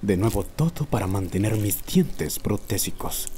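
A man voicing an obsessive old man who recounts his lifelong fixation in a solemn, deliberate horror narration. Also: on the low side, animated. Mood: brooding, solemn. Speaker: amateur narrator of a horror short. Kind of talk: scripted first-person horror narration.

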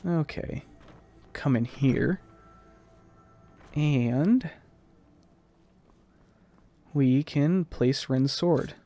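Man, mid-pitched, creaky, scratchy stuffed-up voice, rambling self-deprecating let's play commentary. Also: drawn-out words, long pauses, warm tone, faint music behind. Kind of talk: casual gameplay commentary.

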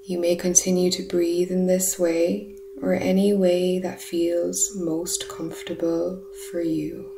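A woman leading a guided meditation in a soft, soothing, breathy voice, with short unhurried phrases. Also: on the low side. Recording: roomy echo, steady humming background noise. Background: humming sound bath tones.

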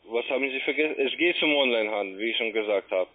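A man speaking non-native German as a call centre agent, making a halting, repetitive sales pitch over the telephone. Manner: halting, repeats himself. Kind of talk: phone sales talk. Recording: muffled narrowband phone line.

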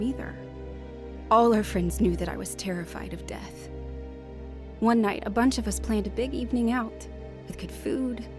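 An actress playing an anxious, earnest young wife terrified of death, in a radio drama. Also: female voice, a little high, natural voice. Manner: earnest, fretful. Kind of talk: radio drama acting and narration.

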